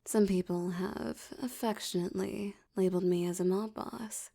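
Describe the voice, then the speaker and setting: A woman playing a seductive, menacing mafia boss in an ASMR roleplay, teasing and often slipping into a breathy whisper. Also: mid-range voice, fairly even intonation, warm tone. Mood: cool, businesslike. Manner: teasing, slow, velvety, threatening. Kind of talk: ASMR roleplay audio drama.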